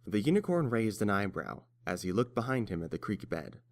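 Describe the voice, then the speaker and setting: A man's voice: on the low side, very animated, fairly quick. A man reading scripted story narration as the narrator.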